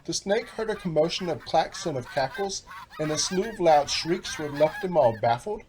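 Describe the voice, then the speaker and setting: A man reading a children's storybook aloud in a theatrical, animated style with rhyming phrasing. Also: on the high side, normal speed, slight background noise, low-quality recording. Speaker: storybook narrator, author.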